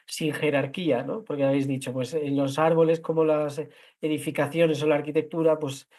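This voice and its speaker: A man's voice: a little high, darkish tone. A man with a Castilian Spanish accent hosting a talk, speaking discursively and spontaneously, often repeating and rephrasing as he goes. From La Mancha, Spain. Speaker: host introducing architecture award winners. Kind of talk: spontaneous moderated online talk.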